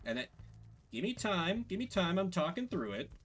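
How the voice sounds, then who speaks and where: Animated, high-voiced male game streamer. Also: moderate pace, slight background noise. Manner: animated, excitable. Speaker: streamer playing and voicing a video game. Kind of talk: casual gameplay commentary.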